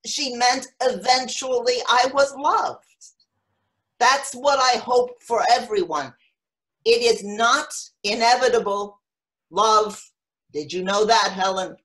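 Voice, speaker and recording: A woman acting a frank, wistful elderly mother of seven in a play reading, speaking with halting, matter-of-fact candour. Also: on the high side, a bit bright, rough recording. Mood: wistful, tender.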